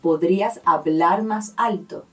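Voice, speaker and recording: A woman teaches in an unhurried, deliberate way, spelling each point out clearly. She sounds a little distant from the microphone. Soft music runs faintly underneath. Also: lowish voice. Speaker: language teacher. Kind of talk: scripted lesson narration.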